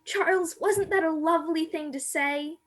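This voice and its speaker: A woman's voice: very high voice, moderate pace. An actress in a radio play playing a naive, romantic young woman who confides her longing earnestly. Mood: dreamy, longing.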